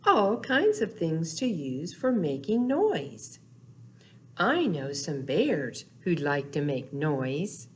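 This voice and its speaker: An older woman leads a storytime for little children. She speaks extremely slowly, with a pitch that rises and dips widely, in short simple phrases with playful sound words. There is clear background noise in the recording. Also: big pitch swings. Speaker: children's storytime presenter at a library. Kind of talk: storytime for small children, talking, chanting and reading aloud. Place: small indoor room, seen seated before a wall.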